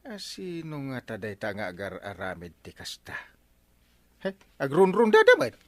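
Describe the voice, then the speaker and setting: An actor voicing an excitable village captain in a comedy radio drama, loud, exclamatory and quick to scold. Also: male voice, medium pitch. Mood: bustling. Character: excitable middle-aged male village captain. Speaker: barangay captain character.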